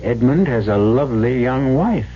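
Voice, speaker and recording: A man hosting and narrating an old-time radio drama in a wry, deliberate, scripted way. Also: mid-range voice, expressive. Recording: muffled, hissy old radio recording.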